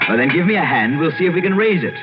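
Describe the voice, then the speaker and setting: An actor playing an inquisitive, determined gentleman investigating a haunted house, theatrical and excitable, with stammered repetitions, in old-time radio drama style. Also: a little high, rapid-fire. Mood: excited, urgent. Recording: dull old radio broadcast with loud music.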